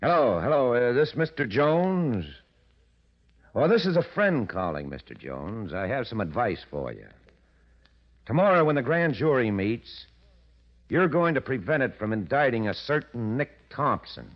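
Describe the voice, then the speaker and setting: An actor playing a scheming, ambitious blackmailer in a 1940s radio drama, with an insinuating, self-assured manner. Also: male voice, on the low side, full character voice. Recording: muffled vintage radio recording.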